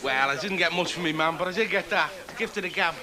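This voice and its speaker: An actor playing a cheery working-class chancer with a Liverpool accent and the gift of the gab, chatty and wisecracking in a naturalistic drama. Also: male voice, on the high side, quick pace, a crowd in the background, lightly acted. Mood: wry, reflective.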